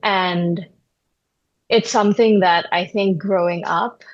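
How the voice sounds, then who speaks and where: A woman's voice: mid-range voice, steady tone, takes it slowly, lo-fi sound. A young adult woman from Indonesia, a therapist hosting a podcast, talking through ideas in a reflective way and often checking in with "right?". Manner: thoughtful, searching, with many fillers and "right?". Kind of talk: reflective conversational interview.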